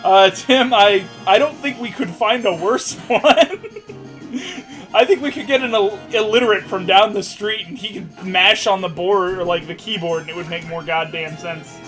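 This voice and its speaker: A man's voice: sits high, moderate pace, a bit bright, faint music behind. A young man reading aloud and commenting casually, sarcastic and sweary, often breaking off to joke. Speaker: co-host reading fan fiction aloud. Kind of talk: casual mocking read-aloud and commentary over a game.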